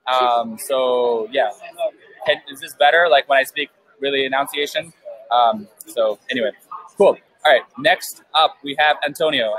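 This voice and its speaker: A man's voice: mid-range voice, fairly bright tone, rough recording. Casual host, male, loose and chatty, headphone mic at a crowded event, fillers everywhere. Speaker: live stream host interviewing guests. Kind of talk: casual live interview. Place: busy outdoor event beer tent.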